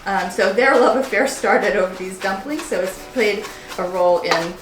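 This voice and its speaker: A woman's voice: medium pitch, normal speed, noisy background. A middle-aged American woman talking informally and explaining things as a home cook presenting a cooking demonstration. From United States, partly Hawaii. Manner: conversational, explanatory. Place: indoors, roomy kitchen. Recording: echoey room with music behind.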